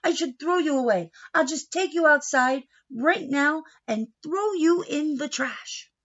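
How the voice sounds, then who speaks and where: A woman's voice: high-pitched, lively intonation, unhurried, fairly bright tone, muffled recording, voice pushed up. A woman voicing a fussy, indecisive storybook uncle who argues with himself in dramatic exclamations. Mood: fretful, dramatic.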